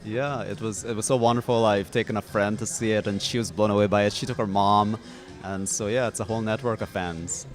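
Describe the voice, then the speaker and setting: A man's voice: fairly low pitch, fairly even intonation, fairly quick, faint music behind. A man from Guyana talking enthusiastically about a play, stumbling over restarts. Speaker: theatregoer being interviewed. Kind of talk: casual interview answer.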